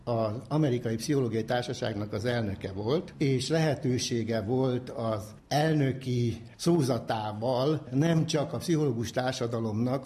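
An older man giving a measured, formal explanation as an academic expert. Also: medium pitch, mellow, slight background noise. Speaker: psychology professor, interviewed expert.

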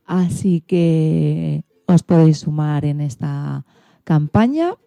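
A woman's voice: low voice, lively intonation, slow pace, vocal fry, dark tone, no room sound. A woman presenting a radio magazine programme from a script.

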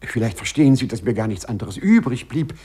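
A man acting in a 1950s German radio play as a courteous, lovestruck gentleman burglar with formal, flowery speech. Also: mid-range voice, sing-song, fairly quick, slightly rough, no echo. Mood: flustered, apologetic. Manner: formal, flowery, over-polite. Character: courteous, lovestruck gentleman burglar who walks through walls.

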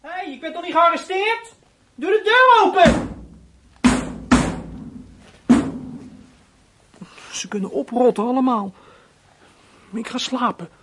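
An actor playing a sullen fourteen-year-old runaway boy in a radio play, muttering bitter thoughts to himself in a husky, breathy voice. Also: high-pitched, lively intonation, moderate pace, sharp tone. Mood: angry. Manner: brooding inner monologue, muttering to himself.

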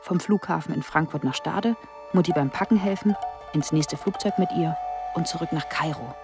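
A woman's voice: low voice, normal speed, music in the background. An actress playing a worried, exasperated young woman in a radio drama, moving between dialogue and first-person narration. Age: young adult. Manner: exasperated, questioning.

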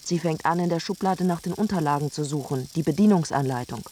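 A woman's voice: sits low, fast talker, dark tone, a little hiss or hum, natural voice. A woman narrating a scripted radio drama in an emphatic way.

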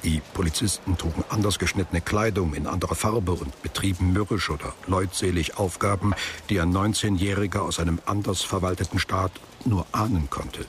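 A man narrating a literary radio drama in a measured, formal way, with music behind him. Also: low voice, slight background noise.

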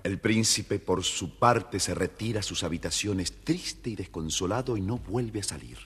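A man narrating a scripted children's story in an expressive, theatrical way, with a husky voice. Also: low-pitched, normal speed. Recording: hall-like reverb, faint music behind.

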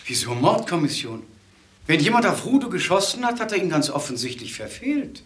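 Sharp questioner, animated, echoey. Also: male voice, sits high, a little fast, slight background noise. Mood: indignant questioning. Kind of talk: vintage German crime drama acting. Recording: echoey vintage drama soundtrack.

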